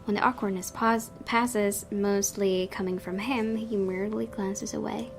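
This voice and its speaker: A woman's voice: mid-range voice, steady tone, a little slow, creaky. A woman hosting a gaming video, giving casual commentary and reading the story narration aloud. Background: game music.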